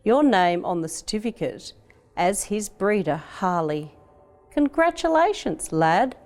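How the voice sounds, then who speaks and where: Woman voicing a reassuring Australian dad, lively intonation. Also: mid-range voice, measured pace, soft background music. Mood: proud. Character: kindly Australian father of a young boy, reassuring, proud.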